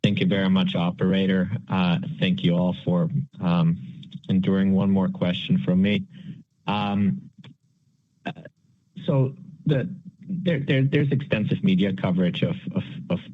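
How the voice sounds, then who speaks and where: A man's voice: sits low, fairly even intonation, measured pace, narrow, tinny audio. A man posing a courteous analyst question on an earnings call, very hesitantly, repeating small words as he goes. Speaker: financial analyst asking questions.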